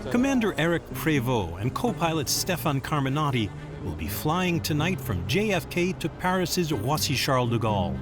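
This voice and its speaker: A man's voice: a little high, sing-song, moderate pace, music in the background. A man reading scripted documentary narration as the narrator.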